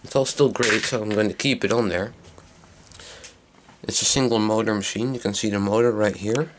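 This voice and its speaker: A man giving a casual, unscripted hands-on demonstration in a low, creaky voice, recorded on a handheld camera microphone. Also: normal speed, a little hiss or hum. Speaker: hobbyist video maker. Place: small indoor workroom.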